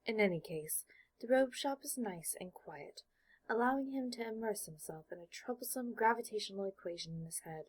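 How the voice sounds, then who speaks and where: A woman with a slightly husky voice narrates a work of fiction. She reads scripted prose in the present tense, as an audiobook storyteller does. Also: medium pitch, lively intonation, fairly quick.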